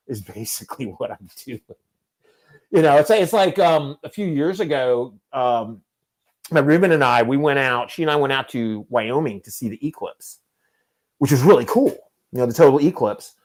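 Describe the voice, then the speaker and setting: A man's voice: medium pitch, expressive, normal speed, poor audio. Man, fifties, podcast host, casual chat, rambling, self-deprecating, mid-range voice. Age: 50s.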